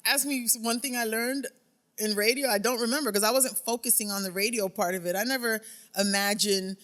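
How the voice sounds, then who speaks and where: A woman's voice: fairly high pitch, fairly even intonation, a little fast, a bit bright, long reverb tail. A woman who hosts radio, talking casually in an interview, telling stories and acting out the voices of people she quotes. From South Florida. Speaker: radio host, podcast guest.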